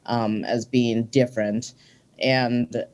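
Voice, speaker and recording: An interview guest in their forties who grew up in Minnesota. They speak in an earnest, reflective way, stopping often on "um" as they think aloud. The voice sits at an ordinary middle pitch. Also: little pitch movement, takes it slowly, a little mellow. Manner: earnest and reflective, with frequent ums. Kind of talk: casual interview conversation.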